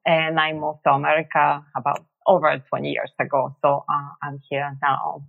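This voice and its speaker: Guest, woman in her 40s, Russian accent, halting delivery, thin phone-line sound. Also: sits low, flat delivery, creaky. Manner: halting, searching for words, frequent pauses. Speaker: podcast guest. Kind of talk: personal story in an interview.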